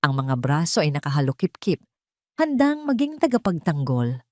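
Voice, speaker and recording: A woman narrates a scripted drama. Her voice sits low, and the recording is dry and close, with a measured storytelling delivery. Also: big pitch swings, mellow, no room sound.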